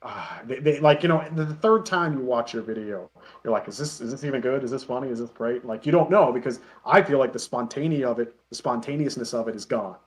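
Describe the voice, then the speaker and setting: A man chatting casually as an interview guest, telling self-deprecating anecdotes in an animated way. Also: medium pitch, fast talker. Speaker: interview guest, web parody creator.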